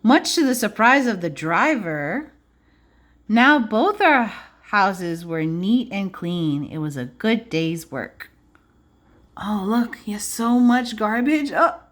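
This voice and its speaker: A woman preschool teacher reading aloud to young children, adding simple explanations and checking in with questions. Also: medium pitch. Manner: simple child-directed phrasing.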